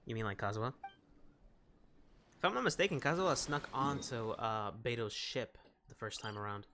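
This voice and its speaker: A man giving casual let's-play commentary, rambling and reacting aloud in an excitable way. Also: medium pitch, moderate pace, noisy background. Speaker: gaming video host. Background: game music.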